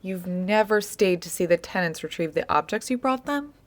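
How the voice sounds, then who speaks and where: A woman with an American accent playing a patient, measured interviewer who gently probes, in a naturalistic audio drama. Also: fairly low pitch, close to an everyday voice. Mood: patient, probing. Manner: measured, calm, probing. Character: patient, probing woman interviewer recording an oral history.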